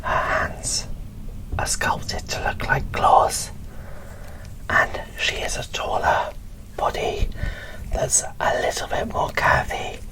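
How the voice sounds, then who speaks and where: A woman whispering in a breathy, barely voiced ASMR style, presenting dolls with gentle, deliberate phrasing into a close microphone. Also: poor audio. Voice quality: breathy whisper with little voicing. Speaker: doll collector presenting an unboxing.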